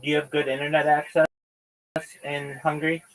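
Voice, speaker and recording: A man's voice: fairly high pitch, little pitch movement, a little fast, a bit bright, low-quality recording. A man hosting a livestream, chatting casually with a caller, reacting briefly and asking easy questions. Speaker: livestream host. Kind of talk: casual chat.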